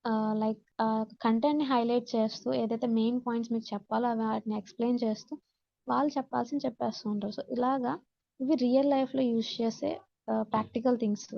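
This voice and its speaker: A woman's voice: on the high side, fairly even intonation, fast talker, creaky, dry recording. A woman with a Telugu accent teaching in an instructional classroom-lecture manner, mixing in English terms, on dull, muffled audio. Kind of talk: classroom lecture reading out points and explaining them.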